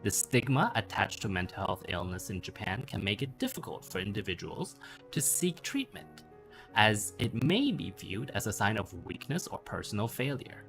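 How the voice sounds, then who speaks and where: A man reading a scripted explanatory essay aloud in a measured way, stressing the openings of sentences. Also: lowish voice, big pitch swings, creaky, a bit bright, faint music behind. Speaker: narrator reading a bedtime-story style essay. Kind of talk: scripted narration read aloud.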